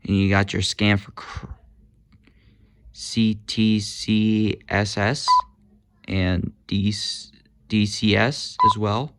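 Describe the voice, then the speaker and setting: A man's voice: on the low side, noisy background. A man casually demonstrating walkie-talkies, hesitant, with many fillers and corrections of himself. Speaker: gadget reviewer.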